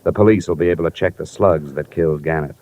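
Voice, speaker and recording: A man's voice: very deep voice, fast, warm tone, slight background noise. An actor playing a blunt, hard-boiled male insurance investigator in a 1950s radio detective drama. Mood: stern. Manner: clipped, blunt. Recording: muffled vintage radio broadcast.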